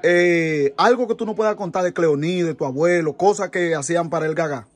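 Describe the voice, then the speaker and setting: A man with a Dominican Spanish accent interviewing a guest, friendly and chatty, with quick follow-up questions. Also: a little high. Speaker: interviewer, host. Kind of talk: casual interview.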